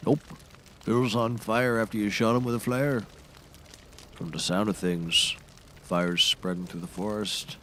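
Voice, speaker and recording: An actor playing a gruff, deadpan outdoorsman and old sea hand in a scripted comedy audio show, with a dry storytelling manner. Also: lowish voice, a little slow, long pauses, slight background noise.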